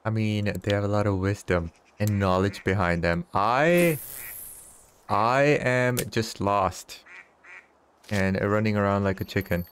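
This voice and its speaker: A man's voice: fairly low pitch, a little slow, smooth. A man in his early thirties streaming a fishing game, chatting and teasing his viewers with frequent chuckles. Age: 30s. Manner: chuckles often, teasing banter with chat. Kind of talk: casual live-stream chat while gaming.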